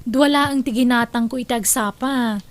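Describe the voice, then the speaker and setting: An actress plays a blunt woman in a radio-style family drama. Her high voice is emphatic, and she has an Ilocano Filipino accent. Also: fairly even intonation, normal speed, no echo, natural voice.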